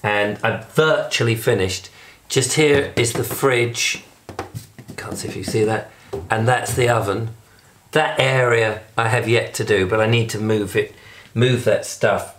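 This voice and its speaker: Hoarse English man, low-pitched, rambling self-deprecating vlogger talking to camera at home. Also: fairly bright tone. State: hoarse, recovering from lost voice. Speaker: video blogger. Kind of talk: casual diary vlog. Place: indoors at home and in a flat.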